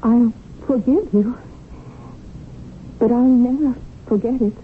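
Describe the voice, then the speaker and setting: High-voiced wounded wife. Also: female voice, slow. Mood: resigned. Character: plain, wounded wife, knowing and quietly reproachful. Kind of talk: 1970s radio drama acting. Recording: dull, noisy old radio broadcast recording.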